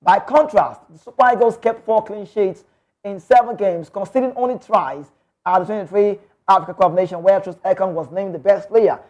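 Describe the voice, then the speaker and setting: A man's voice: high voice, fairly quick, a little mellow, dull audio. A Nigerian man hosting a sports talk show, giving passionate, opinionated football commentary full of emphatic rhetorical questions.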